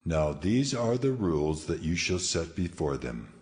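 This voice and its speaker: A very deep, solemn God. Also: male voice, very animated, unhurried, darkish tone. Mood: grave instruction. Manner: solemn, declaratory. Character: God, stern commanding male deity giving laws. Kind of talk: dramatized scripture audio. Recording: music behind the voice.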